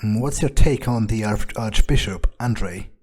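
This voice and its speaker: A man roleplaying a cynical, arrogant vampire in a video game, talking in a blunt, halting, profane way. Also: lowish voice, a little mellow, husky. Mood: probing. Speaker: gamer roleplaying his character.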